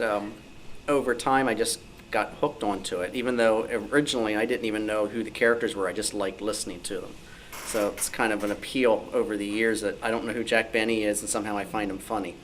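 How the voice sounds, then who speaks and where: Chatty man on a noisy live recording. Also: fairly low pitch. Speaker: old-time radio researcher and author. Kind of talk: informal panel talk at a club meeting. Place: club meeting room, indoors.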